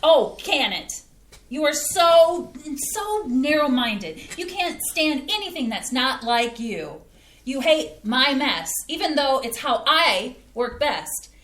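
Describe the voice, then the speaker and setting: An exasperated middle-aged mother scolding her family, read by a woman. Her voice sits high and bright and jumps all over the place. The sound rings around a roomy stage. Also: big pitch swings, slow pace, a little hiss or hum, full character voice. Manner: emphatic, scolding. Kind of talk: amateur staged play reading. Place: theater stage.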